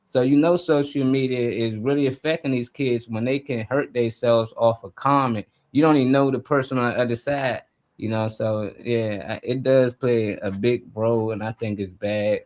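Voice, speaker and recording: A man's voice: medium pitch, steady tone, moderate pace, a little mellow. A man with a mid-range voice hosting a casual live discussion. He talks informally and colloquially, laying out his opinions like someone telling stories to friends. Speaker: talk show host.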